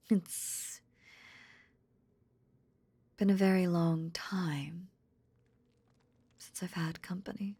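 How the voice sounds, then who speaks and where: A woman playing a possessive lamia in an intimate roleplay audio drama, tenderly nursing in a breathy, half-whispered, seductive murmur with veiled menace. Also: lowish voice, warm tone, dry recording, exaggerated voice. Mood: wistful, tender. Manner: slow, soothing, seductive murmur.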